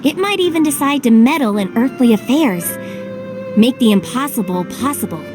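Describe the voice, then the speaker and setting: A woman's voice: fairly high pitch, animated, moderate pace, close to an everyday voice. A woman voicing a playful, enigmatic guide companion in a video game, fond and evasive. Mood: airy, teasing. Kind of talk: English video game voice acting. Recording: game music under the voice.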